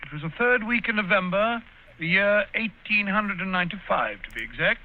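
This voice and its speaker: A man's voice: sits high, expressive, brisk, phone-quality sound, slight background noise. An actor playing a genial, fussy older male doctor in a 1940s radio drama, with a bumbling, chuckling manner.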